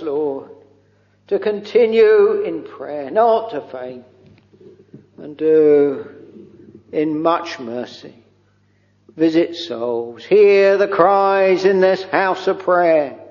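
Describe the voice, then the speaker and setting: A man leading a slow, pleading extempore prayer from the pulpit, intoning in old-fashioned English with many interjections of "oh" and "Lord". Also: high voice. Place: church or chapel. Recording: dull lo-fi recording with long hall reverb.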